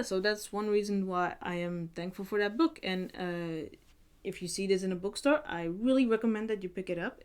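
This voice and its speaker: Young woman from Sweden, earnest book vlogger, lowish smooth voice, lots of "like" and "uh". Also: a little mellow. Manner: earnest, many fillers and restarts. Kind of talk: casual book review vlog.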